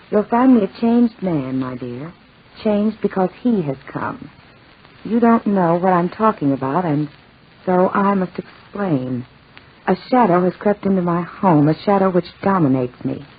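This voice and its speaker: A woman's voice: on the low side, expressive, unhurried, slightly rough. An actress playing a skeptical young woman, a worried cousin, in a 1940s radio drama. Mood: reading aloud, dramatic. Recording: muffled, tinny 1940s radio recording.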